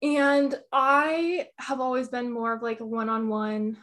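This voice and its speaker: A woman yoga teacher as a podcast guest, talking in a relaxed, candid, rambling way with fillers. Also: sits high, a little slow. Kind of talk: casual interview chat. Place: her apartment.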